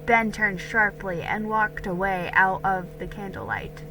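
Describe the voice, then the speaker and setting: A woman's voice: medium pitch, steady tone, unhurried, noisy background. A woman giving a dramatic narration of a storybook as its reader.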